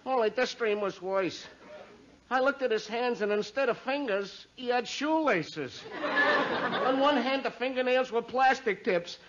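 A man's voice: very high voice, unhurried, over a noisy crowd. An actor playing a frazzled, whimpering department store salesman haunted by nightmares in an old radio comedy. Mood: shaken, fretful. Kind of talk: 1950s radio comedy acting. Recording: muffled, noisy old radio recording.

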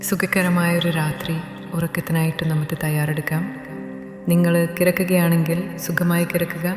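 A woman narrating a guided sleep meditation in a gentle, soothing, instructional manner. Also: on the low side, flat delivery, normal speed. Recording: echoey hall reverb under music. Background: new-age meditation music.

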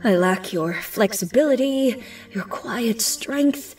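Woman acting a grieving regal princess, slow and breathy. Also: on the high side, expressive, darkish tone. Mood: remorseful sorrow. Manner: formal, breathy, often dropping to a whisper. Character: grieving regal mare princess of the night, remorseful. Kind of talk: fan audio drama acting. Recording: distant, music underneath.

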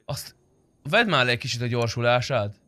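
A man's voice: mid-range voice, animated, pushed and bright, no room sound. A young man who streams roleplay gaming, chatting casually with blunt, sweary banter. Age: young adult. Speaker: streamer playing online roleplay game.

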